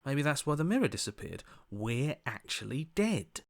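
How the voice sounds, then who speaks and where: A man voicing an earnest, anxious young hero in a video game, with a hesitant, questioning, expressive delivery. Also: medium pitch, normal speed, no echo, studio quality. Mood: uncertain, conscientious. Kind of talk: video game character acting.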